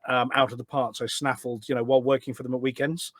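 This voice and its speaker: A man's voice: medium pitch, little pitch movement, quick pace, fairly bright tone, no room sound, clean studio sound. A middle-aged British man chatting casually as a podcast guest, rambling and correcting himself as he reminisces. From United Kingdom.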